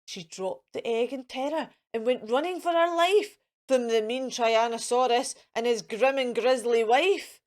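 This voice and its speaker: A woman's voice: a little high, normal speed, few pauses. A woman hosting a children's storytime, reading a picture book in a sing-song way for young listeners. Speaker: children's storytime host and reader.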